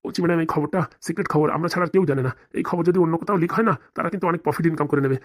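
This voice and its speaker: A man's voice: on the high side, very fast, close to an everyday voice. A man voicing a greedy, scheming village policeman in a comic cartoon, with conspiratorial, rustic, colloquial speech. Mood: wheedling, secretive.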